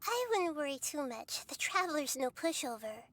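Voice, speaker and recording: Soft, girlish little goddess. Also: high-pitched, sing-song, very noisy. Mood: calm, reassuring. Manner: measured, composed, explanatory. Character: gentle, childlike little goddess, wise and calmly selfless. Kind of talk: video game voice acting. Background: music.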